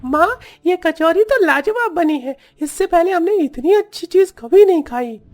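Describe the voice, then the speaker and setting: A woman's voice: very high voice, animated, normal speed, a little mellow. A woman playing an innocent young child from a poor family in a cartoon, speaking in a simple, childlike way. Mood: delighted. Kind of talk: cartoon story dialogue.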